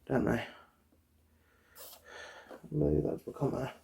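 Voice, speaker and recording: A soft-spoken, often whispering person doing an ASMR eye exam roleplay, chatting gently and politely without hurry, close to the microphone. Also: medium pitch, fairly even intonation, creaky, slight background noise. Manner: gentle, unhurried, polite chatty bedside patter. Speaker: ASMR performer playing an eye doctor.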